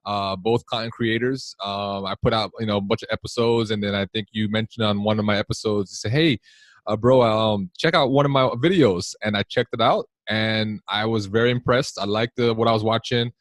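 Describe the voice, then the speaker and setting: A man hosting a casual podcast, rambling warmly with many fillers and restarts. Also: fairly low pitch, steady tone, a little fast, no echo. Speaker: podcast host.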